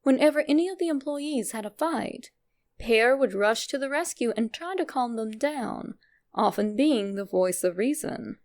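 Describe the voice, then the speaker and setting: Woman, narrator, fairly high voice, strong vocal fry, scripted storytelling delivery. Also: lively intonation, moderate pace, a little mellow. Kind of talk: scripted narration of a fan theory.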